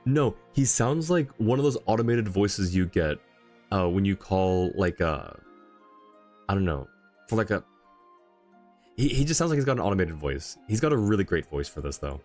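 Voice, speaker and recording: A man's voice: low voice, expressive, moderate pace, a little mellow, soft background music. A man giving casual livestream game commentary and chatting with viewers.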